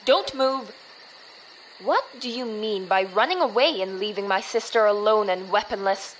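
A woman's voice: mid-range voice, normal speed, fairly bright tone, slight background noise, natural voice, rough recording. A woman playing a fierce, protective young swordswoman in an audio drama, commanding and clipped. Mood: threatening, accusing.

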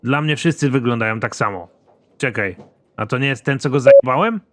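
A man voicing a crude, trigger-happy, dim and cocky army major in an animated comedy, gruff and deadpan. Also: medium pitch, fairly quick, pitched below the performer's own voice, natural voice. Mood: deadpan, stubborn. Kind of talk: animated comedy series dialogue.

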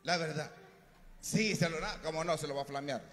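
A man's voice: on the high side, moderate pace, soft background music. A man hosting a live outdoor concert, joking with contestants and announcing the band over a stage mic. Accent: Mexican Spanish. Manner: lively, joking, shouting into mic. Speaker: event emcee. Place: outdoor concert stage under a large roof. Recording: PA sound with long reverb.

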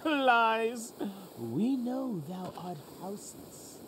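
A man's voice: very high-pitched, lively intonation, extremely slow, fairly bright tone, a little hiss or hum, heavily acted. A man playing a pompous role-play warrior in a video game, declaiming in mock-archaic style. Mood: haughty challenge.

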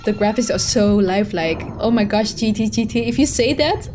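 A woman's voice: mid-range voice, brisk, music in the background. A young Dutch woman co-hosting a VR stream, chatting casually, reading chat aloud and teasing her partner. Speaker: streamer and co-host. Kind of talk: casual live-stream commentary. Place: indoor room at a desk. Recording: steady background noise.

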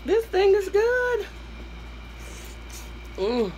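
A hoarse woman in her thirties with a Southern US accent, hosting an eating show from a small room at home, chatting to her viewers and humming between bites. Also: very high-pitched, sing-song, extremely slow, a little mellow, a little hiss or hum. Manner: chatty, addresses viewers as y'all, hums while eating. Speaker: mukbang host. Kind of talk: casual eating-show chat.

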